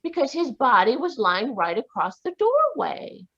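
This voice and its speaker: A defiant young woman suspect played by a woman in a vintage radio mystery. She fires back quick, indignant retorts in a husky voice that swoops widely in pitch. The audio is dull and lo-fi. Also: huge pitch swings, a bit bright, full character voice. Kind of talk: 1940s radio acting.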